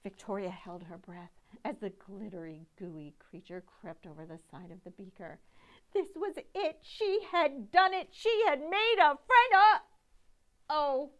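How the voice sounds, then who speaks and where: A middle-aged woman reading a picture book aloud to children, with dramatic, deliberate phrasing. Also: sits high. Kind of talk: expressive storytime read-aloud.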